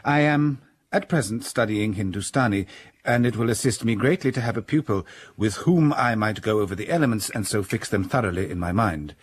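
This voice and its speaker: A man playing a stern, cold young clergyman in a radio drama, speaking in a formal, measured, reserved way. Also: lowish voice, slight background noise, close to an everyday voice.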